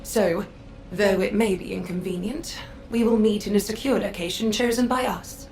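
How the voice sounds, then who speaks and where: A woman's voice: mid-range voice, normal speed, gravelly, darkish tone, soft background music, natural voice. A woman playing a condescending council Magister in a video game, with a haughty, formal, clipped delivery. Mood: haughty, composed.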